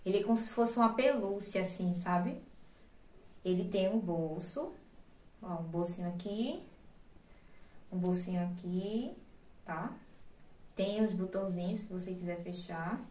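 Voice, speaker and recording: A woman's voice: medium pitch, unhurried, pauses often, warm tone, echoey room, recorded from a distance, slight background noise. A young Brazilian woman in her twenties chats casually as she shows thrifted coats to the camera, checking in with her viewers. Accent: Brazilian Portuguese. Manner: chatty, affectionate, tag questions like "tá?". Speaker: vlogger. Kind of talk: casual thrift haul showing clothes. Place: small indoor room with clothes rack.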